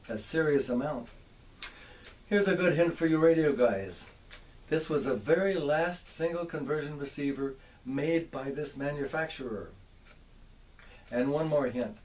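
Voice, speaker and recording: An older American man with a fairly high but soft, mellow voice. He presents in a folksy, measured way, speaking straight to his viewers as a hobbyist showing off his gear. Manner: measured, addresses viewers directly with rhetorical questions. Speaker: presenter, antique radio hobbyist. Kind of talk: folksy show-and-tell presentation to camera. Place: home workshop.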